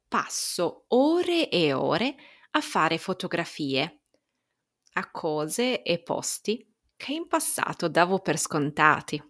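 An Italian woman reads a personal newsletter aloud as a teacher would, addressing the listener directly in a deliberate, expressive way. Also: fairly low pitch. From Italy.

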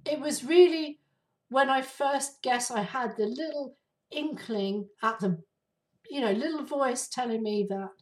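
A woman hosting her own podcast, her voice raspy and hoarse from flu, talking casually with many ums and uhs. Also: high-pitched, recorded from a distance. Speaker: podcast host. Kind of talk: casual solo podcast talk.

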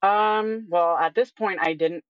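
A woman's voice: medium pitch, unhurried, no room sound. Candid young woman, slightly dull audio. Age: 20s. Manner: candid, informal, frequent "like" as filler. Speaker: interview guest. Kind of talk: casual personal conversation.

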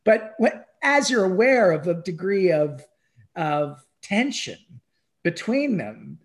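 A man in a casual, enthusiastic conversation about film, halting and repeating words and phrases for emphasis. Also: high-pitched, very animated. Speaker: podcast guest or co-host discussing a film.

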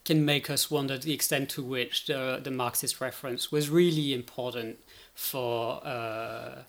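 A man's voice: mid-range voice, fairly even intonation, measured pace, creaky, fairly bright tone. A man speaking as an expert guest in a conversational interview, thinking aloud and often checking with "right?". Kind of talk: conversational explanation in a podcast interview.

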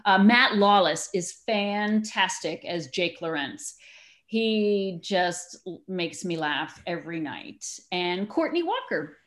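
A woman speaking as an enthusiastic, expressive interview guest, chatting casually about community theater. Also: on the low side, slow pace. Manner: enthusiastic, expressive big personality.

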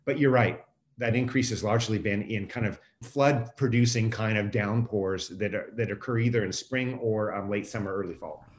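A man's voice: lowish voice, normal speed. An American man answering as a guest expert, explaining things in a casual, conversational way.

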